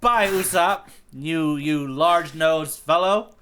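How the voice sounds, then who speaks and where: A man co-hosting a podcast in casual, joking banter, with a slightly hoarse baritone voice. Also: slow pace, pushed and bright, a little hiss or hum. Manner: playful, jokey, swings pitch for effect. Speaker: podcast co-host, tour guide persona.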